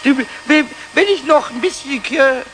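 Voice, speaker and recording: A man voicing a cheeky, childlike little kobold in a 1970s children's radio play, squeaky and stammering in excited repetitions. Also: a little slow, slight background noise. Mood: tipsy, giddy. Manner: stammering, repeats words excitedly.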